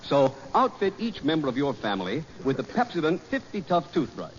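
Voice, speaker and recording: A male radio announcer delivers a 1940s commercial with a lively, swinging pitch. The sound is dull and old, with faint noise behind him. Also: a little fast. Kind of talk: 1940s radio advertising read.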